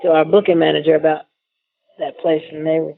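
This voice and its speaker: Male rapper as radio guest, highish voice, laid-back slangy chat, dry dull audio. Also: flat delivery, a little fast, gravelly, no room sound. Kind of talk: casual chat.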